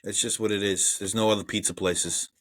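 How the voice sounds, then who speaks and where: Blunt Brooklyn man, bright voice. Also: lowish voice, steady tone, a little fast, no room sound. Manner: blunt and profane, reads trivia aloud. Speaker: podcast co-host. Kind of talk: casual movie review chat.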